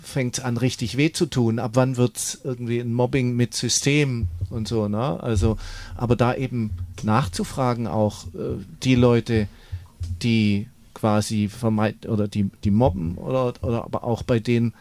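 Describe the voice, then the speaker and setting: A man's voice: medium pitch, fairly even intonation, moderate pace, darkish tone. A man in a casual round-table discussion who explains his points and keeps adding tag questions. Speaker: discussion participant.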